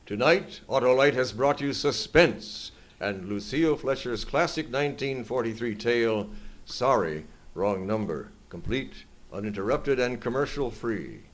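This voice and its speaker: A man's voice: medium pitch, lively intonation, measured pace. A man announcing scripted credits in the style of an old-time radio show. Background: live music.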